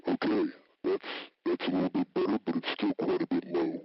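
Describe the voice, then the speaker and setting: Male tutorial host, lowish voice electronically pitch-shifted, explaining step by step, dull dry speaker sound. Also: level tone, a little fast, gravelly, slightly rough, no room sound. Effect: pitch-shifting electronic voice changer played through a small speaker. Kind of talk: hands-on electronics demonstration.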